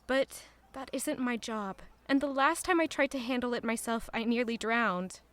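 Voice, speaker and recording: A woman's voice: on the high side, normal speed, fairly bright tone, close to an everyday voice. A woman playing a thoughtful young royal who narrates her own story in an audio drama. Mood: reflective.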